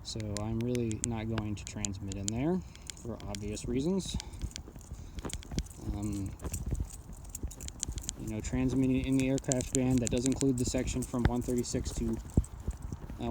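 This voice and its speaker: A man's voice: mid-range voice, steady tone, moderate pace, pauses often. Rambling man in very noisy recording. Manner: rambling, blunt, matter-of-fact. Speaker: amateur radio hobbyist reviewing gear. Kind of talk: casual unscripted product demonstration.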